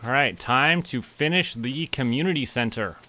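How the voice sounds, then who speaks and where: A man's voice: medium pitch, expressive, moderate pace, pushed and bright. A man, playfully thinking aloud and narrating what he does as he streams a game. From Canada. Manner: playful, narrates own actions and reads game text aloud.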